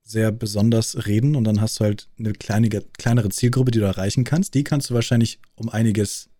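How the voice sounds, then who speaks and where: A man's voice: lowish voice, fairly even intonation, fairly quick, mellow, dry recording. Chatty man asking questions. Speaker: interviewer. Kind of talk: casual conversation.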